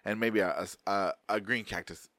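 A man's voice: on the low side, fairly even intonation, fairly quick, sharp tone, dry recording. A man in his thirties from Arizona, hosting a podcast in loose, rambling casual chat, swearing freely and laughing often. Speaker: podcast host.

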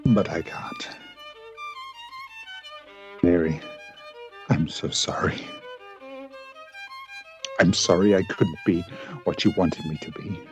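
A man playing a despairing, remorseful Victorian gentleman doctor in a solemn radio play monologue. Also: sits low. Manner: solemn, halting.

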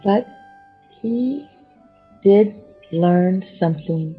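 A woman storyteller with a husky voice reads a children's bedtime story expressively, with chatty asides to young listeners. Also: mid-range voice, very slow, soft background music. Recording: dull, lo-fi sound.

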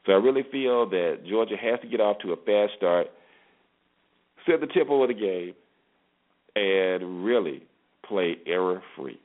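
A man's voice: fairly low pitch, animated, a little slow, pauses often. A man hosting a solo sports show, giving informal commentary and repeating phrases for emphasis. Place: solo internet radio show. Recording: muffled phone-like internet radio audio.

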